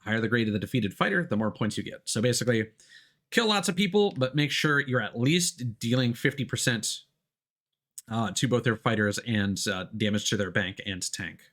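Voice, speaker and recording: Male game streamer, very animated intonation, explaining mechanics in hesitant asides while playing live. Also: mid-range voice, fairly quick. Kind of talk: live let's play commentary, part reading game text aloud.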